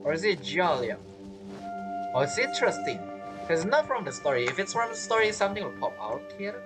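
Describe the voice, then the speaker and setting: A man's voice: high voice, sing-song, fairly quick. A man giving casual game commentary, musing aloud, repeating phrases and asking himself questions. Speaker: gamer narrating a playthrough. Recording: game music behind the voice.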